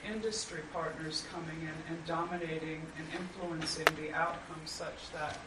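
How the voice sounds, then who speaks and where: A panelist speaking in a measured, deliberate way at a roundtable discussion. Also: female voice, sits low, fairly even intonation. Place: conference room. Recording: echoey room, distant mic, loud background noise.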